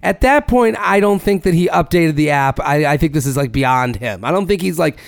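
Man, gravelly, fairly high voice, dry close mic, animated podcast co-host. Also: fairly quick, no echo. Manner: animated, swears casually, reads listener emails aloud. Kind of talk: casual chat.

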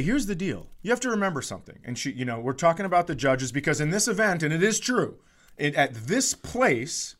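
A man's voice: a little high, fairly bright tone. A middle-aged American man telling casual comedic stories as a podcast host, with a profane, exasperated ranting manner.

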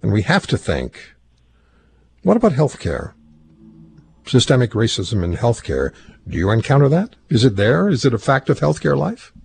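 A man's voice: mid-range voice, very animated, normal speed, creaky, long pauses, a little mellow, a little hiss or hum. A man hosting a radio talk show, interviewing a guest conversationally with short affirming responses. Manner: conversational, affirming with brief acknowledgments.

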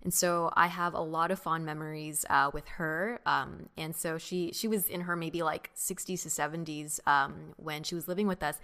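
A young woman from the United States, co-hosting a podcast and telling childhood stories in a relaxed, reflective way, with many fillers. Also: low-pitched, normal speed, hardly any pauses. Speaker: podcast co-host. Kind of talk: casual chat.

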